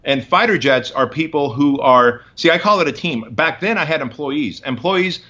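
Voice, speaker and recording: A man's voice: fairly high pitch, expressive, normal speed, bright, forceful tone, highs cut off. A man in his forties, a guest in an interview, telling his story in emphatic, punchy short phrases. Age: 40s.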